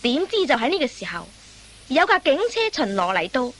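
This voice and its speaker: A woman's voice: on the high side, big pitch swings, brisk, fairly bright tone. A woman narrating a scripted radio comedy drama as a storyteller.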